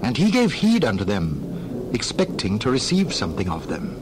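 A man narrating a dramatized Bible reading in a measured, formal storytelling manner. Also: on the low side, wildly swooping pitch. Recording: clear background noise.

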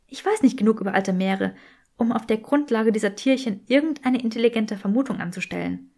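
A woman's voice: a little high, fairly quick, vocal fry, a little mellow. A woman voicing a curious, bookish young witch who explains things precisely and is easily exasperated, in an audiobook story. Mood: earnest.

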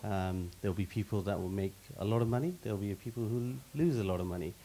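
Low-voiced man answering on a panel stage. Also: fast, mellow, slight background noise. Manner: expansive, repeats words when starting, gives lists of examples. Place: stage in a meeting hall with audience. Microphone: handheld mic.

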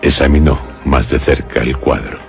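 A man's voice: extremely low pitch, normal speed, creaky, faint music behind. A man narrating a gothic suspense radio drama in a grave, scripted style. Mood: grave, ominous. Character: grave male narrator of a gothic horror tale.

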